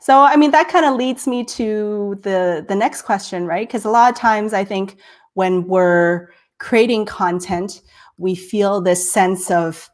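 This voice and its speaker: A woman from Texas hosting a live-streamed interview, a bit nasal, enthusiastic and full of "right?". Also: mid-range voice. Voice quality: slightly nasal. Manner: enthusiastic, many "right?" and "I love it". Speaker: host.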